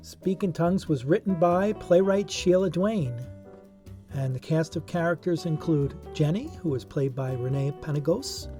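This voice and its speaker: Podcast host for scripted intros: relaxed, warm timbre, androgynous mid pitch, light guitar bed. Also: normal speed. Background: plucked guitar music.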